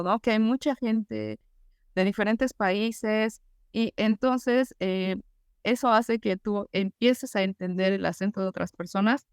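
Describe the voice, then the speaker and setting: A woman's voice: medium pitch, clean tone, no echo, clean studio sound. A woman in her thirties with a Mexican Spanish accent, a teacher answering interview questions in a chatty way, full of fillers like "o sea" and "este". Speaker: teacher and master's student, guest.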